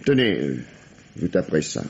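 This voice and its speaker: Grumpy cop in an old radio play, low voice, pitch jumps around, curt n sardonic, muffled old recording. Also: male voice, very animated, moderate pace, gravelly, noisy background, exaggerated voice. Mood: grumbling. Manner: curt, sardonic, hesitant when flustered. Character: gruff, exasperated American police lieutenant, a harried father. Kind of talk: 1950s French radio drama acting. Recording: dull vintage radio recording.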